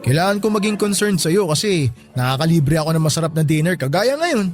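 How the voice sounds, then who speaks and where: An actor playing a teasing, devoted young best friend in a radio drama, with a playful, bantering delivery. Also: male voice, on the high side, animated, brisk, soft background music, lightly acted. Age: young adult. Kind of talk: Tagalog radio drama acting.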